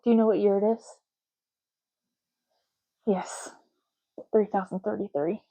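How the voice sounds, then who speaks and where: A woman with a dark, relaxed voice performs a soft-spoken ASMR roleplay. She murmurs in short, unhurried phrases at an ordinary female pitch, sounding like a chatty, matter-of-fact clerk. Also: poor audio. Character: chatty matter-of-fact official issuing zombie ID cards.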